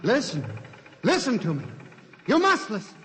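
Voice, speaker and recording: An anxious, haunted clerk in a fifties science fiction radio play. His voice sits somewhat high for a man. He speaks with grave, portentous intensity, like someone obsessed, over faint dramatic music. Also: huge pitch swings, normal speed, a bit bright, heavily acted. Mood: frantic pleading. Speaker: actor narrating in character. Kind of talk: 1950s radio drama acting, first-person narration. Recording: 1950s radio broadcast with music.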